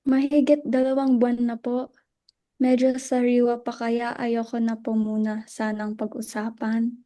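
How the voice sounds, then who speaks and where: Woman acting a slow, mellow, shy Filipino newcomer. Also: on the high side, level tone, close to an everyday voice. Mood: reticent. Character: shy, reserved young widowed newcomer, 28. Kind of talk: dramatic reading.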